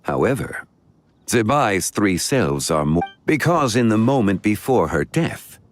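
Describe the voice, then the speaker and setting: An actor playing a grave, courteous, scholarly man in a video game, speaking in formal, measured phrases. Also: on the low side, very animated, soft background music. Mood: solemn, explanatory. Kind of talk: video game story dialogue.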